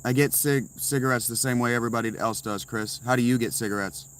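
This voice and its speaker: Bright-voiced sarcastic man streaming from a tent. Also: mid-range voice, fairly even intonation, fast talker. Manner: blunt, sarcastic replies to chat. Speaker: live streamer answering chat. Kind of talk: casual livestream chat. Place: inside a tent.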